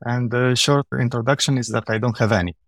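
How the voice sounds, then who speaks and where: A man's voice: medium pitch, fairly even intonation, a little fast, fairly bright tone, no echo, clean studio sound. A man with a Romanian accent, a native Romanian speaker, giving conversational interview answers as a podcast guest. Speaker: podcast guest, software engineer.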